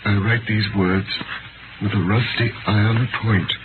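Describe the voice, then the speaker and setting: A scratchy, narrow old radio broadcast of an actor as a sorrowful physician. His lowish voice is solemn and measured, heavy with melancholy. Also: fairly even intonation, natural voice. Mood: doleful, solemn. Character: grave, sorrowful imprisoned French physician recounting his ordeal. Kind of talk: 1930s radio acting, dramatic narration.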